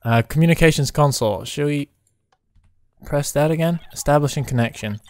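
A man chatting casually and excitably while playing a co-op game, joking and exclaiming as he goes. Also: fairly high pitch, a little fast, dry recording, clean studio sound. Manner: jokey, exclaiming. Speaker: gamer playing a co-op roleplay map. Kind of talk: excitable casual gameplay chat.

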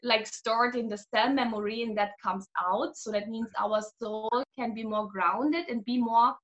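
A woman's voice: a little high, steady tone, a little slow, a bit bright, muffled recording. A woman in her thirties from Germany, a guest healer talking animatedly over a video call and laughing often. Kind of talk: casual video-call interview. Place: small room at home.

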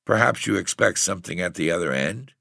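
A husky, hoarse young tramp boy, as a male narrator puts him on, streetwise and defiant. He sounds raised and forceful, with a bright edge. The recording is close and dry. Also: sits low, lively intonation, fairly quick, no echo, pitched above the performer's own voice, heavily acted. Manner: streetwise, cocky, bitter. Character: frail, husky eighteen-year-old runaway boy tramp, defiant.

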